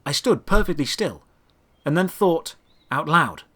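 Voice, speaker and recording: A man narrating a first-person fantasy adventure story in a scripted, dramatic storytelling manner, with deliberate phrasing. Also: a little high, expressive, sharp tone, slightly rough.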